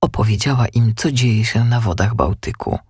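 A man's voice: mid-range voice, moderate pace, darkish tone, husky, no echo, studio quality. A man narrating a scripted children's audiobook story.

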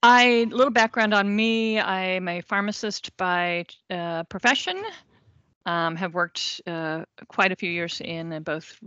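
A woman's voice: fairly low pitch, slow, a bit bright, smooth, no room sound. A woman pharmacist introducing herself at a video meeting, friendly but hesitant with fillers. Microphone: video call. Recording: dry, dull lo-fi call audio.

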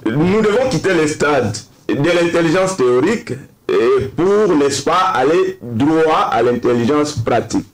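A man with a Congolese accent speaking earnestly and deliberately as a debate panelist, often posing rhetorical questions. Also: a little high, animated, a little hiss or hum. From Democratic Republic of the Congo. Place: TV studio round table. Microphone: desk microphone. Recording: echoey studio sound.

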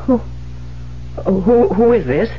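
Radio play: elegant, anxious wife, theatrical, stammering, very animated pitch, slow delivery. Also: female voice, a little hiss or hum. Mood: frightened. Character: sophisticated, elegant wife, frightened and agitated. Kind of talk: 1970s radio drama acting. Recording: muffled old radio broadcast, music.

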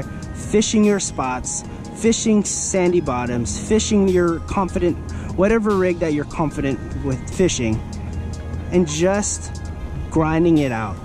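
Higher-voiced man explaining over music. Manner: casual, step-by-step explaining. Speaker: fishing vlogger presenting a tutorial. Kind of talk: instructional vlog narration. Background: background music.

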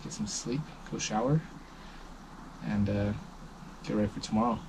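A fishing charter captain in his thirties talking to camera at the end of a long day, tired, calm and grateful, with plenty of ums and uhs. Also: fairly low pitch, moderate pace, noisy background. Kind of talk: casual vlog talk to camera. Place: parked vehicle at night. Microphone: action camera mounted close.

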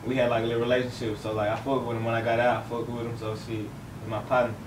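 Young guy rambling with slang, quick, roomy far-off mic. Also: medium pitch, little pitch movement. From Fort Worth, Texas. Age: young adult. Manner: slangy, loose, rambling with fillers. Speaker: rapper guest. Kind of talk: casual interview chat. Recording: roomy, distant, faint hiss.

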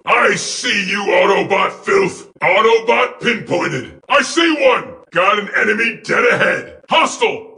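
A man voicing a brash, jumpy evil robot soldier in a video game, with a cocky, excitable delivery. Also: extremely high pitch, creaky, reverberant, heavily acted. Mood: aggressive, gloating. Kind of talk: video game combat barks.

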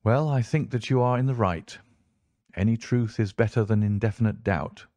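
A man voicing a calm detective who reasons in a measured, composed way. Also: fairly low pitch, dry recording, natural voice.

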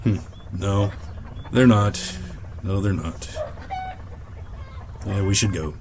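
Low-voiced, weary old aviator played by a man. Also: slow, a little mellow, slight background noise. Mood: somber, hesitant. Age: older. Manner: folksy, plain-spoken, brooding. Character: weary, bitter old aviator turned farmer, loyal and proud. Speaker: voice actor. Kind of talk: audio drama acting.

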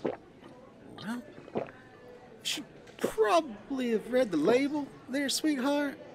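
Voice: high voice, sing-song, slow, over music, exaggerated voice. An amateur actor voicing a flirty, motherly pink balloon elephant who serves at a soda bar, with a folksy, coaxing manner in an audio play. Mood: flustered, reassuring. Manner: folksy, coaxing, calls listener sweetie and dear. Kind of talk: amateur audio play, second-person roleplay monologue.

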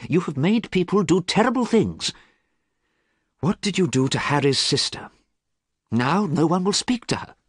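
Storybook role: an earnest, sorrowful artist read by a man, raised pitch, lively rise and fall. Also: moderate pace, pauses often, husky, no room sound, studio quality. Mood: pleading. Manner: quiet, pleading, sad. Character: earnest male artist, worried friend. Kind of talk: audiobook character reading.

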